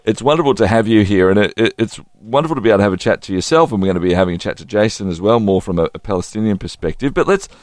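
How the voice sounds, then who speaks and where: A man's voice: lowish voice, expressive, racing, few pauses, no room sound, studio quality. A man hosting a conversational radio interview, often repeating words as he feels his way into questions. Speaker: radio host.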